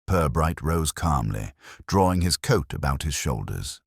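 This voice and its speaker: A man's voice: extremely low pitch, sing-song, unhurried, creaky, breathy edge, no room sound, studio quality. A man narrating a scripted period mystery story in a literary, dramatic style.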